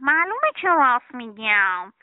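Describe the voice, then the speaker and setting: Woman putting on a high, lively cheeky storybook cat, meowing between words, tinny phone-like sound. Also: no echo. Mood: teasing. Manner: drawn-out meows between words, teasing.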